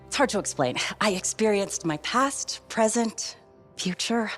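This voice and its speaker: A woman voicing a composed, wondering noblewoman freed from captivity, in measured video game cutscene dialogue. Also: mid-range voice, animated, soft background music, natural voice. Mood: dazed, composed. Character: composed noblewoman, dazed after long captivity.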